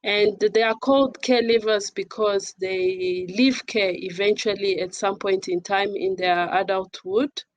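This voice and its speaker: A woman speaking as an advocate on a panel in a formal, measured and somewhat hesitant manner. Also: medium pitch, steady tone, no room sound. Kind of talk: panel talk introducing herself and discussing.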